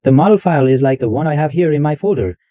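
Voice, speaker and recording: A man hosting a tech tutorial, walking through software step by step and repeating himself as he goes. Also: a little high, animated, quick pace, dry recording, lo-fi sound. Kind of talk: screen-recorded software walkthrough.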